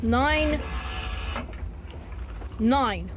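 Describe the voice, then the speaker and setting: Very high-voiced, confident schoolboy. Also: female voice, expressive, drawn-out words, background noise, full character voice. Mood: urgent. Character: confident, sensible schoolboy leader of a children's club. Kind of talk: children's audio drama acting.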